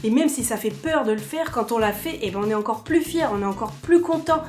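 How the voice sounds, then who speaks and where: A young woman vlogging casually to camera, chatty and self-mocking, with quick asides. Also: on the high side, lively intonation, darkish tone, soft background music.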